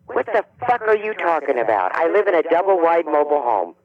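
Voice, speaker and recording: A man voicing a hostile old woman on a phone call who curses and threatens in drawn-out words. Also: fairly high pitch, very animated, no room sound.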